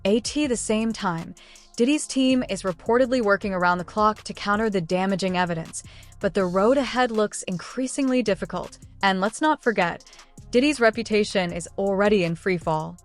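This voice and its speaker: A woman's voice: mid-range voice, moderate pace. Woman narrating dramatic news over music.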